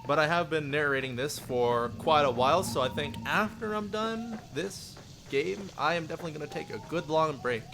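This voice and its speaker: A man's voice: on the high side, normal speed, few pauses, noisy background. A man live streaming with casual, rambling chat, often chuckling as he replies to viewers. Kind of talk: casual live stream chat with chat replies, some read-aloud story narration.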